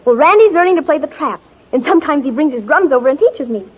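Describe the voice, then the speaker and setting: A headstrong tomboy of fifteen in an old radio drama. The voice is high and girlish, earnest, slangy and gushing. The recording is muffled and old. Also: expressive, fast talker. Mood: earnest, defiant. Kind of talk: 1940s radio drama acting.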